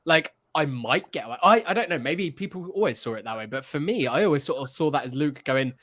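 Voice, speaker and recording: A man's voice: fairly high pitch, lively intonation, quick pace, a bit bright. A man co-hosting a casual film-fan podcast, chatting informally and slipping into mock quotes as he tells things. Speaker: podcast co-host.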